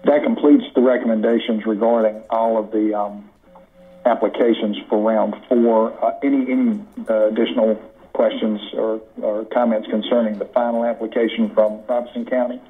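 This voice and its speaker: An American man giving a formal committee report in a halting, deliberate way over a conference call. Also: lowish voice, level tone. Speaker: committee member presenting recommendations. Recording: muffled conference call audio.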